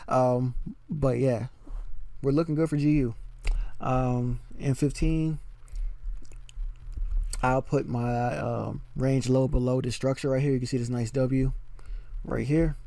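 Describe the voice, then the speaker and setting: A man walks through trading charts in a casual, filler-heavy voice-over, checking in with "okay?" as he goes. His voice is warm and dark, and he keeps it level with little rise and fall. The recording is dry and close. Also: mid-range voice, slow, creaky, pauses often, no room sound. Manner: relaxed, filler-heavy explaining with frequent "um" and "okay?". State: slightly losing his voice. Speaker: forex trading educator. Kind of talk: casual screen-share chart walkthrough.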